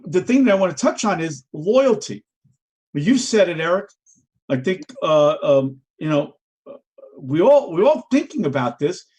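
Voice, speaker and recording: A man's voice: sits high, husky. A middle-aged man moderating a panel, talking conversationally and telling stories. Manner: conversational, storytelling with fillers. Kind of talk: panel discussion.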